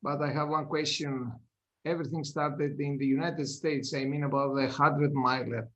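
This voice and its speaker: A man hosting a podcast interview, asking questions eagerly and warmly, with somewhat nonstandard English phrasing. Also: on the high side, fairly even intonation, normal speed. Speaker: podcast host. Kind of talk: casual interview conversation.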